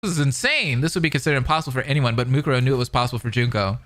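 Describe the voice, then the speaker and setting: A man reading a dramatic story aloud as an online commentator, with animated, exclamatory delivery. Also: mid-range voice, racing. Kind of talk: dramatic narration reading with excited reactions. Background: background music.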